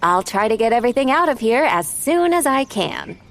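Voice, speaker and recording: Audio drama sister: stubborn, tense, guarded young mother, defiant delivery, very animated pitch. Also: normal speed. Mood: guarded, needling.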